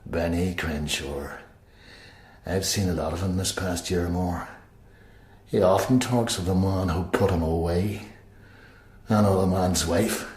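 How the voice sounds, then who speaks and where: An actor playing a pious, defiant prisoner in a radio crime drama, measured and preachy with a taunting edge. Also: male voice, low voice, steady tone, a little mellow. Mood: quietly menacing. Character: pious, defiant male IRA prisoner, quietly menacing. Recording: roomy, echoing interior.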